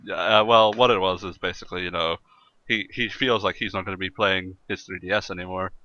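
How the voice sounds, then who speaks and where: A man hosting a casual gaming podcast chat in a jokey way, quick to laugh, on slightly lo-fi audio. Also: deep voice, fairly even intonation, bright, forceful tone. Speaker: podcast host and streamer.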